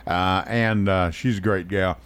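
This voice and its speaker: A man's voice: deep voice, fairly even intonation, a little slow, a bit bright, smooth. A man hosts a local radio talk show from a studio microphone. He rambles, cracks jokes at his own expense and keeps things casual and chatty. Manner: joking, rambling, self-deprecating.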